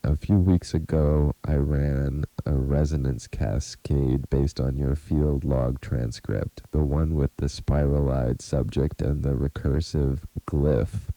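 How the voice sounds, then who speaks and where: A man playing a grave, haunted researcher in an audio drama, confiding a warning in a deliberate, ominous, earnest way. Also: rumbling low, fairly even intonation, mellow, no echo, exaggerated voice, low-quality recording. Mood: urgent, foreboding. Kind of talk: scripted audio drama monologue, recorded message.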